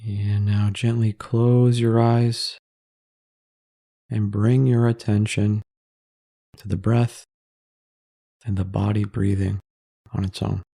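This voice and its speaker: A man narrates a guided meditation in a low, dark, relaxed voice. His delivery is measured and calming, with pauses between phrases. The sound is close and dry. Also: creaky, no room sound.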